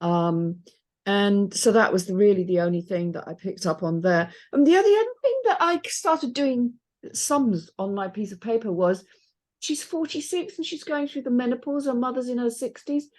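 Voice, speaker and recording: Middle-aged woman with a higher voice. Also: animated, moderate pace, a little mellow. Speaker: chair of a script feedback panel. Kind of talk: casual group discussion.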